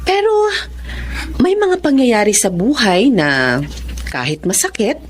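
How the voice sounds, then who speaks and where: A woman playing a caring, composed psychiatrist and mother in a Filipino radio drama, measured and reassuring, slipping into English. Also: on the high side, wildly swooping pitch, slight background noise, heavily acted. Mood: calm, reassuring.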